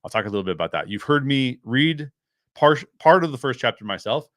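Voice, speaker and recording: A man's voice: mid-range voice, expressive, fairly quick, fairly bright tone, no echo, studio quality. A man giving casual solo commentary as a show host, often thinking aloud to his viewers. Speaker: host of a pop culture review show.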